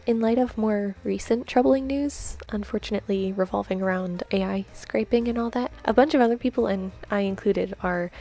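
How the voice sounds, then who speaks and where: A young woman chatting and rambling while she draws, soft-spoken and giggly, her voice a little off from a cold. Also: mid-range voice. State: slightly congested from a cold. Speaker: art vlogger. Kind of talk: chatty voice-over while drawing. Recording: faint background music.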